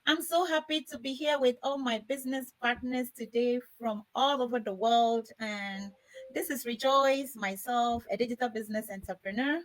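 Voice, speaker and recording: A woman from Ghana speaks in a fairly high voice as the host of a live panel discussion. Her way of talking is effusive and full of gratitude. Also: normal speed, low-quality recording. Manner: effusive, repeatedly thanking.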